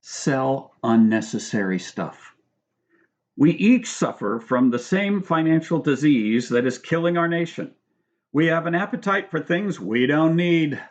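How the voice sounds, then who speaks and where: A middle-aged American man reads his own nonfiction book aloud in a measured, instructive manner, adding spoken asides. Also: fairly high pitch, expressive, dull audio. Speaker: author reading own book. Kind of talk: audiobook-style reading of nonfiction with spoken asides.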